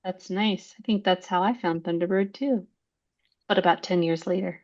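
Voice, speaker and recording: A woman's voice: on the low side, normal speed, darkish tone, dull audio. A woman co-hosting a casual interview, friendly and laughing often. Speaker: co-host.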